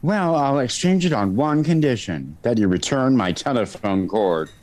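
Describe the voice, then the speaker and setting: A man's voice: medium pitch, lively intonation, moderate pace, darkish tone, slight background noise, natural voice. A man playing a sarcastic, fussy store clerk in old-time radio comedy, arch and mock-polite.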